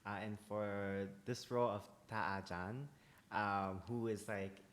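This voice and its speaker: A man speaking thoughtfully in a casual group discussion, pausing often with ums. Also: on the low side, steady tone, a bit bright. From United States. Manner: thoughtful, hesitant with many ums. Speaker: actor. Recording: roomy echo, faint noise.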